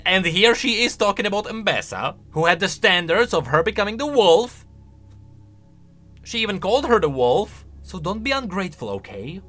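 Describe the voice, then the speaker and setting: A man giving casual, opinionated reaction commentary on video game lore in an animated, conversational way. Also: sits high, moderate pace. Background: faint music.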